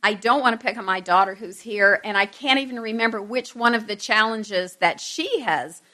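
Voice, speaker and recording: A woman's voice: mid-range voice, normal speed, pushed and bright. A middle-aged woman giving an informal Bible lesson to a group, conversational, with self-deprecating asides to her listeners.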